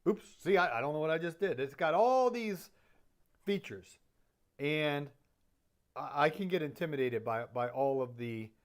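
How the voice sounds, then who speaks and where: A man's voice: a little high, expressive, moderate pace. A man who is a podcaster, casually reviewing his audio gear in a chatty, self-deprecating way with lots of fillers and restarts.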